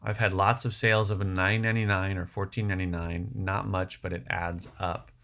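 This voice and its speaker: A man's voice: low voice, flat delivery, a little fast, creaky, a bit bright. A man hosting a casual livestream, answering viewer questions in a rambling way, thinking aloud as he goes. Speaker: livestream host.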